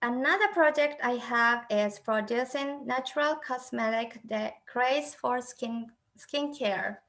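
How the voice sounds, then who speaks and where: Woman reading her conference talk, high bright voice, careful and halting, fixes her slips, online call sound. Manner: careful, halting delivery with self-corrections. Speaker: conference speaker.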